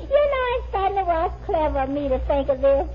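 A woman's voice: high voice, very animated, fairly quick. A woman playing a scatterbrained, cheerful friend in a 1950s radio sitcom, with naive, guileless comic delivery. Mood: pleased, breezy. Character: scatterbrained, cheerful woman friend, proud of her muddled logic. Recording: muffled, noisy 1950s radio recording.

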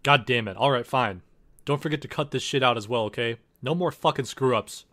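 A man's voice: mid-range voice, brisk, bright, forceful tone, lightly acted. A man voicing a sarcastic, foul-mouthed space engineer in a comedic game parody, blunt and exasperated. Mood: irritated.

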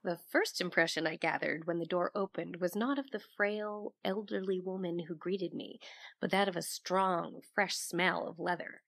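A woman playing an inquisitive investigative reporter, recounting her case as measured scripted audio drama narration. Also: lowish voice, vocal fry, no room sound. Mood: measured, intrigued. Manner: measured, storytelling.

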